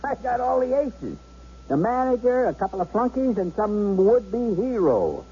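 A man's voice: high-pitched, big pitch swings, unhurried, warm tone, husky, exaggerated voice. An actor playing a cocky, volatile bank robber in a radio drama, with sarcastic, bossy, slangy tough-guy talk. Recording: dull, noisy 1970s radio broadcast.

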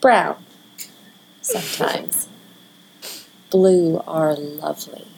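Woman playing soft, expressive, caring older sister. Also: low voice, drawn-out words, creaky, noisy background, exaggerated voice. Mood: dreamy. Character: caring, romantic eldest teenage sister, anxious, dreamy. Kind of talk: audio drama acting.

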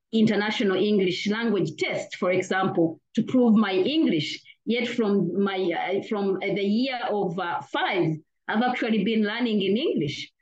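A woman's voice: mid-range voice, a little mellow, lo-fi sound. A woman from Uganda, an academic, giving earnest interview answers full of "you know" fillers and restarts. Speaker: academic guest. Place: lives in Australia.

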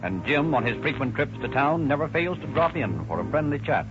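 A man giving measured, scripted narration for a 1930s radio drama. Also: medium pitch, very animated. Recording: dull old radio recording with loud music behind.

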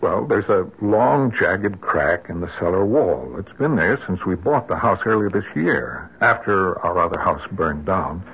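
A low-voiced middle-aged American husband and father, grieving and strained, in a vintage radio drama. His halting delivery swings widely in pitch, and the old recording is muffled. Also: lively intonation, slightly rough, full character voice. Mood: baffled, weary.

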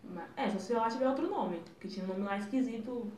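A young woman, co-hosting a casual chat podcast, blunt and quick to swear. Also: a little high, darkish tone, recorded from a distance, slight background noise. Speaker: podcast co-host. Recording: roomy, mic set back, faint ambience.

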